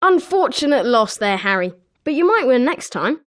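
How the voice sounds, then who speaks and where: A woman's voice: high voice, very animated, normal speed. A woman with a British accent voicing an eager, sporting schoolboy wizard with chirpy, emphatic delivery in a video game. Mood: consoling. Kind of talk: scripted video game character lines.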